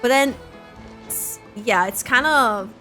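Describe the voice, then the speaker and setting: A woman's voice: on the high side, slow pace, bright, forceful tone, faint music behind. A woman giving casual, rambling reaction commentary over a video game, peppering her sentences with "like". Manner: rambling, frequent filler "like". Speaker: gaming video creator.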